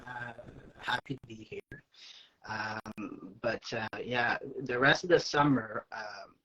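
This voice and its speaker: A man with a French Canadian accent, a native French speaker, telling personal stories in a casual guest chat, lively and acting out the lines he quotes, with plenty of fillers. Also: lowish voice, slow pace, low-quality recording. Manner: animated, acts out quoted lines, many fillers. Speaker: guest, aspiring actor. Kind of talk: casual storytelling.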